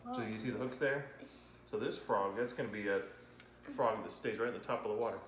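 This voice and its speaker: Host type, man in his 40s, deep low voice, upbeat and jokey, echoey room. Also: fast, a bit bright. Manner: cheerful, joking, laughs often. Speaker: fishing channel host. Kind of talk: playful unboxing chat with his kids. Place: indoor room, at a table. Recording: echoey room with background noise.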